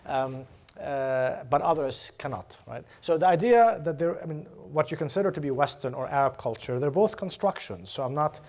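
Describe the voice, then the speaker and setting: A man's voice: a little high, animated, normal speed, a little mellow. A man from Jordan, a lecturer answering audience questions, talking with many fillers, repeated words and restarts. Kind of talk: academic Q&A answer. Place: lecture hall.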